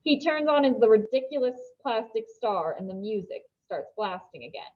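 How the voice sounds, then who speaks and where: A woman reading a children's novel aloud as an expressive storybook narrator. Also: a little high, normal speed, muffled recording.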